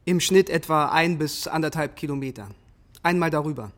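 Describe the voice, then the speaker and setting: An actor playing a defiant, unrepentant soldier on trial in a radio drama, speaking in clipped, contemptuous phrases. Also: male voice, on the high side, normal speed, a bit bright, natural voice. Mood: defiant, bitter.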